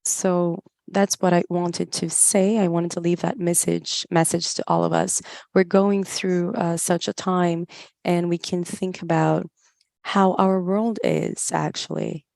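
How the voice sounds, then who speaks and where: A woman giving a live spoken interpretation of a talk, in halting phrases with pauses mid-thought. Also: lowish voice, level tone, gravelly, darkish tone, no room sound, clean studio sound. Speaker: interpreter voicing another speaker's words.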